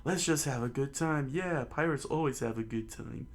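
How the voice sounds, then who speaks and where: A man voicing a boisterous crew of male pirates, loud and rowdy. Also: mid-range voice, normal speed, voice pushed up, natural voice.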